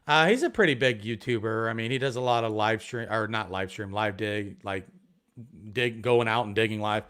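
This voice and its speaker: Rambling, excitable man chatting. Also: fairly low pitch, moderate pace, clear, resonant tone. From Ohio. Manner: excitable, rambling, many restarts. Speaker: metal detecting YouTuber, guest. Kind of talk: casual live stream chat.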